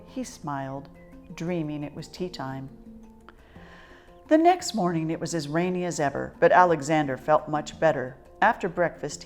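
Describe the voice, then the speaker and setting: Low-pitched woman reading a children's story.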